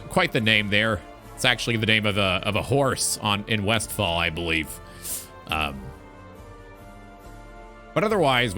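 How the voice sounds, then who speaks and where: A man's voice: mid-range voice, sharp tone. A man hosting a live stream in a casual, informal talk show style, swearing freely.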